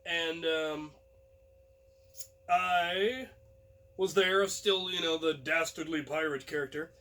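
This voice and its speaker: Male storyteller, young adult, high-pitched voice, casual first-person anecdote delivery for an online audience. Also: fairly even intonation, takes it slowly, pushed and bright, poor audio. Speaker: host and storyteller.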